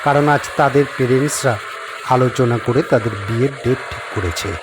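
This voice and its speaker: A man narrating a horror audio story and its credits in a calm, measured storytelling manner. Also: medium pitch, noisy background. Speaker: narrator and director.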